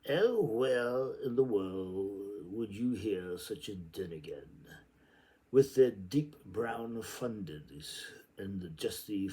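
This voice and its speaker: A man's voice: lowish voice, gravelly, dark tone. A man doing an informal read-aloud of a literary novel, declamatory when reading and muttering rambling asides to himself.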